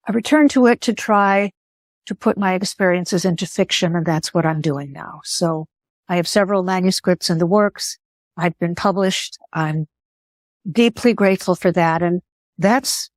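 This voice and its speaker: An older woman whose first language was Greek, speaking in an interview in a thoughtful, measured way and pausing to rephrase. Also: fairly low pitch, no room sound, clean studio sound. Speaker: guest. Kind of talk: interview conversation.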